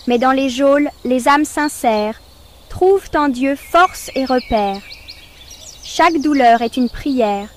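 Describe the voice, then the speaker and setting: A woman reciting a poem as a narrator in a solemn, measured, declamatory style. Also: a little high, a little hiss or hum. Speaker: poetry narrator.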